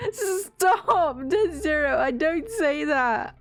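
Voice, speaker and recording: A young woman with a British accent chats on a live stream, rambling from one tangent to the next with frequent laughs and casual swearing. Her voice sits a bit high and she takes her time. Faint music runs underneath.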